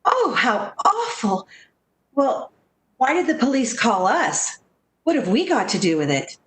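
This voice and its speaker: A worried, protective woman friend from a 1940s-style radio drama, acted by a woman. Her voice is high and very animated in pitch. She sounds practical and firm, pressing her points with theatrical urgency. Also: a little slow. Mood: shocked.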